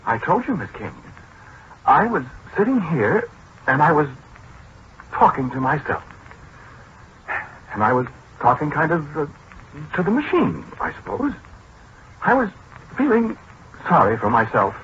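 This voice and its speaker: An American actor playing a gentle, disgraced scientist in a 1940s radio drama, hesitant and apologetic, with self-pity in his delivery. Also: a little high, wildly swooping pitch, full character voice. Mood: hesitant, downcast. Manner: hesitant, halting, self-pitying. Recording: muffled, noisy 1940s radio recording.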